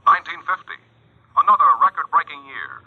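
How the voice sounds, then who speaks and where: A man's voice: on the high side, wildly swooping pitch, fairly quick, creaky. A man reading a punchy, headline-style 1950s radio advertising bulletin. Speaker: commercial announcer. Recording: dull vintage radio recording.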